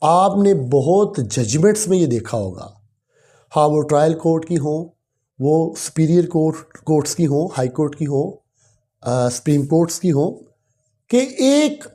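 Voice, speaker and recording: A law lecturer with a Pakistani accent explaining in a didactic way, posing questions and answering them, and switching into deliberate English. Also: mid-range voice, expressive, dark tone. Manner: switches between Urdu and slow, deliberate English.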